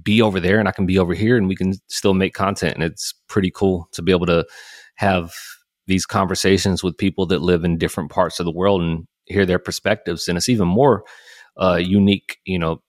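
A man in his thirties from Alabama hosting a podcast, joking and relaxed, often repeating his words. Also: deep voice, brisk, dry recording, clean studio sound. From Alabama, USA. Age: 30s. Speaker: podcast host. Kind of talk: casual chat. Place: cigar lounge.

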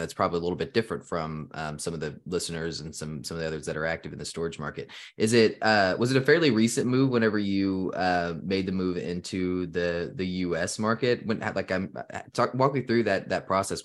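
A man hosting a podcast interview, asking long, rambling questions full of restarts. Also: low voice. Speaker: podcast host.

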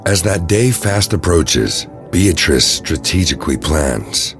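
A man's voice: low voice, animated, takes it slowly, a bit bright. A man reading scripted narration for an audio drama. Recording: music under the voice. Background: music.

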